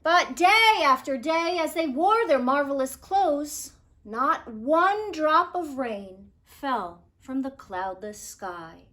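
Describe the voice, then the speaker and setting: A woman reading a children's story aloud in an expressive, dramatic way, with deliberate phrasing and stressed words. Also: high-pitched. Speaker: storybook reader.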